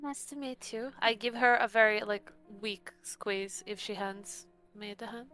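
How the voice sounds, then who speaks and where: A woman's voice: medium pitch, unhurried, pushed and bright, soft background music. A woman playing a tabletop roleplaying game, casually describing her character's actions.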